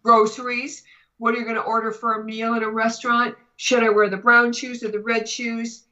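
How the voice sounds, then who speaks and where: A woman with a very bright, fairly high voice tells stories casually as a guest teacher. She is animated and conversational, and the recording is slightly dull and lo-fi. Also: normal speed. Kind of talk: casual storytelling in a talk show.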